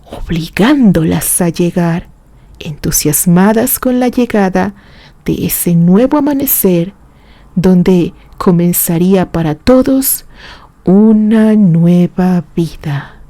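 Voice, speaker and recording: A woman's voice: on the low side, lively intonation, slow, dark tone, no echo. A woman reading a romantic literary story as a scripted narration.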